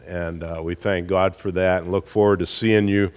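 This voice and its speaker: A male pastor with a hoarse, gruff voice from a cold, welcoming a congregation in a slow, folksy way with many pauses. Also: sits low, flat delivery. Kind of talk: church service welcome and announcements. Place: church sanctuary. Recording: live church hall with long reverb.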